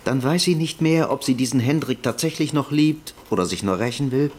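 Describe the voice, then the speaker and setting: A man's voice: mid-range voice, brisk, a little mellow, close to an everyday voice. An actor playing a troubled married man confiding in naturalistic radio drama dialogue.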